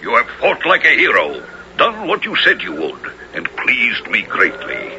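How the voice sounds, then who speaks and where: An actor playing a commanding, shrewd and magnanimous duke in a radio drama, with lordly, archaic, declamatory delivery. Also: male voice, medium pitch, huge pitch swings, a little slow, pushed and bright, heavily acted. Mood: gracious, stern. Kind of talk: 1970s radio drama acting. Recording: noisy, muffled old radio broadcast.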